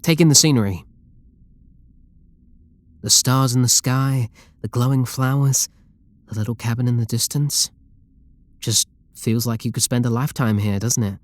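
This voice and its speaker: A man's voice: mid-range voice, steady tone, normal speed, long pauses, no room sound, close to an everyday voice. Dry, intimate British actor as obsessive male lover. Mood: eager, enchanted. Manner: doting, intimate, pleading endearments. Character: obsessive, possessive male game developer, lovestruck and controlling. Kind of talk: roleplay ASMR audio drama.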